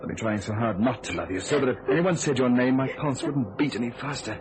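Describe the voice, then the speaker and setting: An actor playing an anxious secret lover in a radio thriller, earnest, soothing and evasive. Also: male voice, mid-range voice, animated, fast talker, natural voice. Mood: anxious, pleading. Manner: earnest, placating, dramatic.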